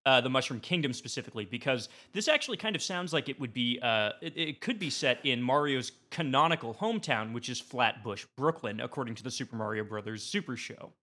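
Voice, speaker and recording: A man with a bright, clear-edged voice of ordinary pitch talks as a guest in a casual debate. He delivers a rambling comic pitch in a mock-serious way, as if every point matters. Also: expressive, fairly quick, few pauses. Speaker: podcast guest. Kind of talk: animated comedic pitch in a casual panel debate.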